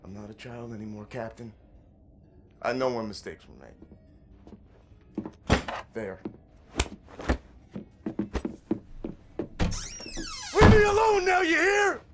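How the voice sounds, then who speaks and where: An actor playing a guilt-ridden young American resistance soldier in a video game, earnest and bitter, with folksy mild oaths and halting delivery. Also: male voice, mid-range voice, big pitch swings, a bit bright, faint music behind. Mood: furious, accusing. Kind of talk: dramatic video game acting.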